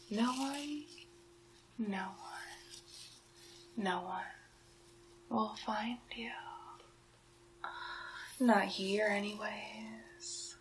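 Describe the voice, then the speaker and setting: Very slow, whispering witch played by a woman. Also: a little high, slight background noise, rough recording. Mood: gloating. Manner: hushed, drawn-out, teasing, theatrical. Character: sly, possessive medieval witch holding a captive huntsman. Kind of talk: ASMR roleplay, soft speaking and whispering.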